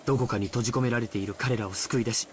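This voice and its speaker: A man playing an earnest, protective young man with quiet determination in a Japanese visual novel. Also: mid-range voice, steady tone, rapid-fire, slight background noise, close to an everyday voice. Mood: determined. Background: game music.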